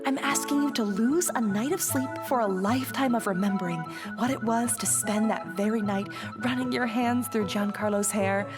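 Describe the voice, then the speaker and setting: A woman's voice: a little high, moderate pace, hardly any pauses, long reverb tail, over music, close to an everyday voice. A woman playing a bold, scheming teenage Sicilian girl in a radio play, theatrical, persuasive and playful. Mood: playful, insistent. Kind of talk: dramatic radio play acting.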